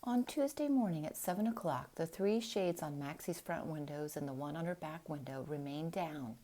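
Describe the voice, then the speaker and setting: A woman reading a storybook aloud in an expressive style, with deliberate, dramatic phrasing. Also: deep voice. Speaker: storybook reader.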